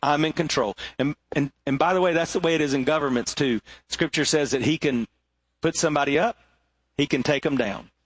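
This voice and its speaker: A middle-aged male pastor preaching a sermon, swinging between rising emphasis and hushed, low moments. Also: fairly quick, husky, no echo.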